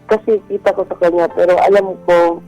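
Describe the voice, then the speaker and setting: Dark, mellow-voiced confessional woman. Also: on the low side, steady tone, fairly quick, soft background music, poor audio. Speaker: radio show caller sharing a secret. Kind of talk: casual, confessional chat.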